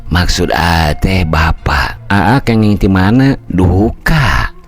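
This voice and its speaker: An older man voicing a plain, practical village mother, speaking softly, slowly and warmly as he tells the tale. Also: low-pitched, faint music behind. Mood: gentle.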